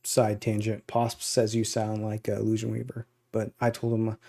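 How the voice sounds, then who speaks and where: A man with a mid-range voice talking casually on a podcast. He rambles and circles back, filling pauses with "uh" and "like.". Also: lowish voice, completely flat delivery, creaky, warm tone. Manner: rambling, loose, lots of "uh" and "like". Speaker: podcast co-host. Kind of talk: casual chat.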